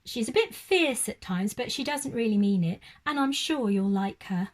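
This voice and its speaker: A woman's voice: on the high side, animated, moderate pace, lightly acted. A woman voicing a kind young girl with a friendly, reassuring way of talking.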